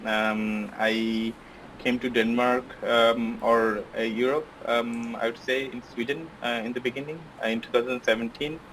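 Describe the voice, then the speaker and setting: A man's voice: medium pitch, level tone, moderate pace, a bit bright, a little hiss or hum, poor audio. A man from Bangladesh, a podcast guest, answering interview questions in a casual, hesitant way with many fillers and restarts. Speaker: podcast guest, software engineer.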